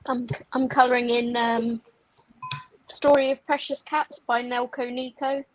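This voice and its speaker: A woman chats in a cheerful, giggly way, her voice sitting a little higher than most. A faint background noise runs under her voice on the video call. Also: flat delivery, a little slow, rough recording. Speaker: participant. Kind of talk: casual chat.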